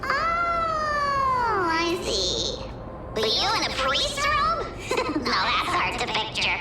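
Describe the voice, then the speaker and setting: Tiny squeaky, singsong sidekick creature. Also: female voice, wildly swooping pitch, slow pace, distant mic, very noisy, full character voice. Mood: curious, teasing. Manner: playful, singsong, theatrical delivery. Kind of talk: video game character dialogue. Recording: roomy echo, game music behind.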